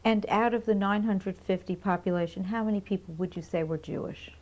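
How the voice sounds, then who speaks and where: Female interviewer, measured and methodical, mellow voice. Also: medium pitch, rough recording. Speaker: oral history interviewer. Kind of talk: structured interview questions.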